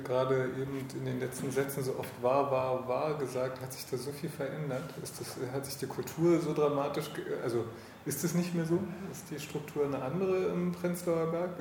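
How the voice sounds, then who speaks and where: A man's voice: medium pitch, steady tone, normal speed, a little mellow. A man interviewing casually, tentatively rephrasing his questions as he goes. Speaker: interviewer, podcast host. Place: indoor room, face-to-face over coffee. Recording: echoey room with faint background noise.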